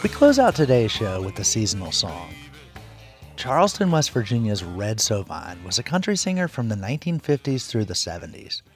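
A man hosting a radio show, reading scripted introductions and credits. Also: fairly low pitch.

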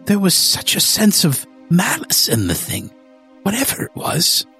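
A woman voicing a shaken young gentleman, an earnest fiancé, in a softened, slightly distant tone over faint music. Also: fairly low pitch, huge pitch swings, measured pace, breathy edge, voice pushed up, heavily acted. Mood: bewildered.

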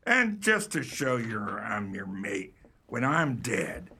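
An actor playing a blustering, menacing pirate captain with a raspy growl and stage pirate dialect in a comic radio play. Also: male voice, lowish voice, very animated, takes it slowly, pushed and bright, a little hiss or hum, full character voice. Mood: sly, menacing.

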